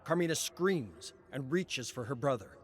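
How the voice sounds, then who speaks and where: A man reading scripted narration as a storyteller, solemn and measured, with dramatic pauses. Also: mid-range voice, animated.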